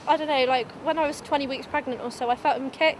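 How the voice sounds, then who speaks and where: A woman's voice: sits high, fairly even intonation, normal speed, background noise. A 19-year-old woman giving a candid documentary interview, informal and a little hesitant, as if thinking aloud. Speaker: young mother being interviewed.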